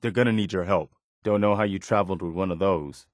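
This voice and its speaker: A male actor plays an earnest wasteland militia lieutenant with a lowish male voice, recorded close and dry. He speaks plainly and with principle, like a dutiful soldier in a video game. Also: quick pace, no room sound, lightly acted. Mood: steadfast. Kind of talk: video game character dialogue.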